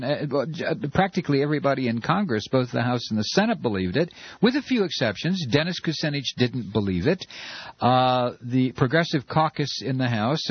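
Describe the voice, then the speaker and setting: A man's voice: medium pitch, slightly rough, no echo. A man hosting a call-in radio show, rambling with many fillers and chuckles. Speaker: radio talk show host. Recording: dull lo-fi radio audio, dry.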